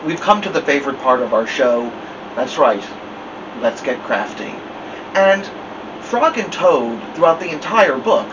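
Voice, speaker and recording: A man's voice: mid-range voice, lo-fi sound. A middle-aged man narrating expressively as host of a children's story time. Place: small furnished room indoors. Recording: distant mic, faint room noise.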